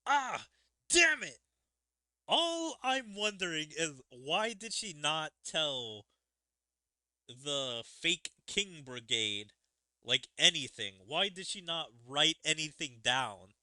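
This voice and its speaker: Bright, forceful man commenting on a game. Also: fairly high pitch, very animated, slow pace, clean tone, dry recording. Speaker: gaming video host. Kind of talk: casual gameplay commentary.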